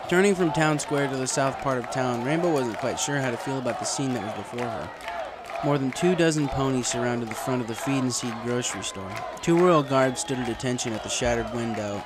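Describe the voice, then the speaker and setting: A man reading a story aloud as scripted narration. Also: medium pitch. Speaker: fan fiction reader. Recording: clear background noise.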